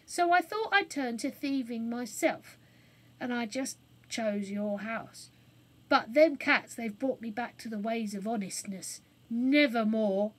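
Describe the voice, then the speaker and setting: A woman voicing a frightened, remorseful working-class man, a novice burglar in a children's storybook, with dramatic drawn-out exclamations and colloquial speech. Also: a little high, lively intonation, fairly bright tone, poor audio. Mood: rueful.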